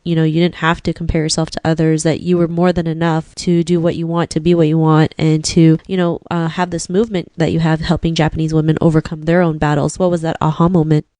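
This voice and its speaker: Low-voiced woman host, dry sound. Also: flat delivery, fast talker, hardly any pauses, mellow, dry recording. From Philippines. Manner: encouraging, many "you know" fillers. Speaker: podcast host. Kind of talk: podcast interview.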